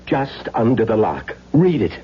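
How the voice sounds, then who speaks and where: A man's voice: on the low side, big pitch swings, husky, exaggerated voice. Flustered man, swooping intonation. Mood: shaken, bewildered. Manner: halting, stammering, repeats himself. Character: flustered, bewildered man, a film production's pistol provider. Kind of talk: 1970s radio drama acting. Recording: dull, noisy old radio recording.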